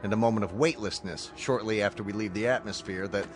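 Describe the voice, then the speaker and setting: Actor as measured, authoritative rescue colonel. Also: male voice, lowish voice, soft background music, close to an everyday voice. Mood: businesslike. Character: firm, rational male colonel leading a rescue mission. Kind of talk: radio drama acting.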